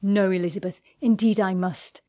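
A woman playing a proud, ardent young gentleman in an audiobook, speaking with formal, declamatory intensity. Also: mid-range voice, moderate pace, close to an everyday voice. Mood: assured, tender.

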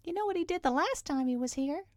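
A woman's voice: sits high, animated, quick pace, darkish tone, no echo, clean studio sound, pitched above the performer's own voice. A woman voicing a fussy, anxious little creature in a children's story, scolding and warning urgently. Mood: alarmed scolding. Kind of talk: children's storybook character reading.

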